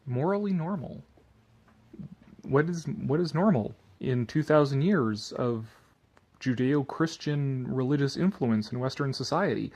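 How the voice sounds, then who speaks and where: A man's voice: mid-range voice, unhurried, a little mellow. A man giving a sardonic commentary and theatrical reading of an article, drifting into rambling asides.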